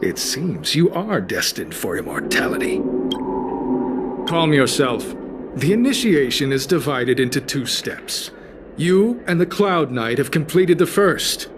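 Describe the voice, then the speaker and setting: An actor playing a calm, coolly sinister cult recruiter in a video game, speaking formally with polite menace. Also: male voice, a little high, unhurried, noisy background. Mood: solemn, assured. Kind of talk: scripted video game cutscene acting.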